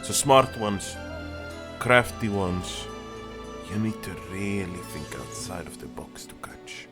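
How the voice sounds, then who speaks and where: Casting: male actor as seasoned detective, older, low voice, grave and tired, fantasy audio drama, music clearly behind. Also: animated, slow. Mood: weary, grave. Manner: weary, grave. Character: world-weary older male detective, grave, easily exasperated.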